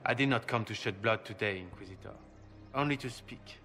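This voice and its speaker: An actor playing a polite, cultured assassin in a game cutscene, speaking in formal, measured phrases. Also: male voice, fairly low pitch, pushed and bright, faint music behind. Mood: polite, composed. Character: courteous, cultured male assassin messenger from a guild. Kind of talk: scripted video game cutscene acting.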